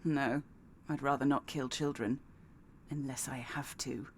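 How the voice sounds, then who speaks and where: A woman's voice: extremely low pitch, steady tone, measured pace, a little hiss or hum. An actress playing a stern, sardonic female assassin in a video game, with a dry, threatening delivery. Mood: cold, curt.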